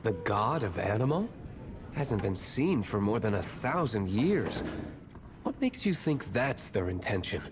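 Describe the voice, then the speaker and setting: Cool reserved young noble in an anime game, lowish voice, curt, music behind. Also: male voice, big pitch swings, unhurried. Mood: cool, serious. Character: aloof, guarded young nobleman with secret dealings.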